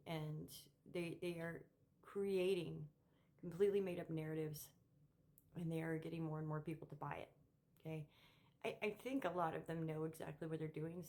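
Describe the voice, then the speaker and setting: A middle-aged woman giving an unscripted opinion monologue as a vlogger, emphatic and halting, often repeating words as she goes. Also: low-pitched, darkish tone. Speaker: political commentary vlogger.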